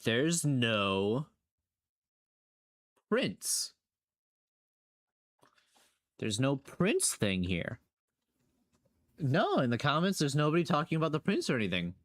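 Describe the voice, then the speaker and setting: A man's voice: medium pitch, sing-song, takes it slowly, long pauses, no echo. A man streaming casual reaction commentary, rambling and playful, often questioning what he watches. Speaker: streamer reacting to horror videos.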